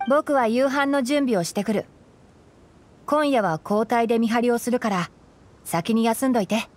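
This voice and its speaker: A woman playing a calm, knowledgeable young male forest ranger in an anime game, explaining things in a composed, gently teasing way. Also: fairly high pitch, normal speed, slight background noise, natural voice. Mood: calm, instructive. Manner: explanatory, composed, lightly dry. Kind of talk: Japanese anime game voice acting.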